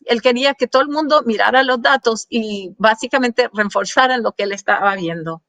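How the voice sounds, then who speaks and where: Woman speaker giving a slide talk, fairly high voice, dry close sound, halting with fillers. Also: bright, forceful tone, dry recording. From Colombian parents. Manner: many fillers and restarts, mixing in English words. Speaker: guest speaker. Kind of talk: presentation to an audience with slides.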